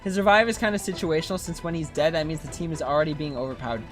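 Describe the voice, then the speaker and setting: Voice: mid-range voice, expressive, very fast. A streamer giving casual, rambling, easygoing commentary while playing a video game. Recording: game music behind voice. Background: video game music.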